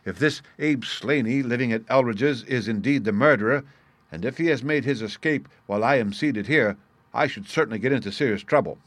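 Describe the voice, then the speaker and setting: A man's voice: medium pitch, fairly quick, no echo, natural voice. A man voicing an earnest, anxious police inspector in an audiobook, formal and frank in his questioning. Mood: frank, worried. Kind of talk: audiobook character dialogue.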